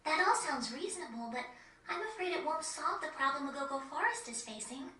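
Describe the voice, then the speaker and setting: Polite young forest girl, high bright voice, very proper, game cutscene, echoey. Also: animated. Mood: polite, calm. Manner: measured, courteous, articulate. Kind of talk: video game cutscene acting. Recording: echoey, distant game audio.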